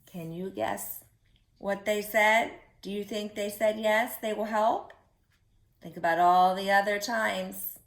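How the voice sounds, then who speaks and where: A woman reads a children's storybook aloud at a slow, deliberate pace. Her pitch sits in the middle range, and she stops to ask her young listeners questions. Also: steady tone.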